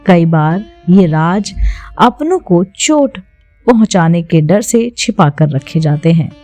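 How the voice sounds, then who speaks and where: A woman's voice: lowish voice, animated, takes it slowly, dark tone. A woman narrator telling a scripted mythological story in a storytelling style. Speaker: narrator of a devotional story channel. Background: plucked-string instrumental music.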